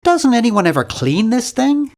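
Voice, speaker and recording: Casting: nerdy young game hero, nasal, very animated intonation, timid, polite, hesitant, earnestly bookish. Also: male voice, extremely high pitch, normal speed, exaggerated voice. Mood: hesitant, observant. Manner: awkward, hesitant, earnest and fussy. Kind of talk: video game character voice lines.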